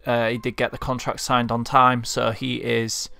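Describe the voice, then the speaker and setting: A man's voice: medium pitch, flat delivery, moderate pace, gravelly, sharp tone, no echo. A man from Leeds with a Leeds accent, delivering a frustrated, heartfelt vlog rant as a football fan. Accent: Leeds Yorkshire. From Leeds, England. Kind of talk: impassioned monologue rant.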